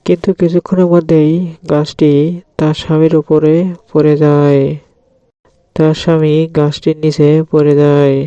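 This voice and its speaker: A man's voice: on the high side, monotone, a little slow, long pauses, warm tone, clear, resonant tone, dry recording. A man narrating a scripted children's story, recorded with a dull, muffled sound.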